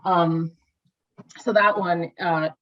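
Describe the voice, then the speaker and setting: A chatty woman co-hosting a podcast, talking about her children with eager interjections. Her voice sits low for a woman. The recording is dull and lo-fi. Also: fairly even intonation. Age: adult with children. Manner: chatty, enthusiastic, quick interjections. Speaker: podcast co-host. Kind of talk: casual chat. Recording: dull lo-fi sound.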